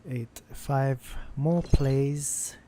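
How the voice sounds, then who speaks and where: A man's voice: medium pitch, fairly even intonation, extremely slow, warm tone, a little hiss or hum. A man streaming a video game, muttering casual commentary to himself as he plays. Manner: mutters to himself while playing.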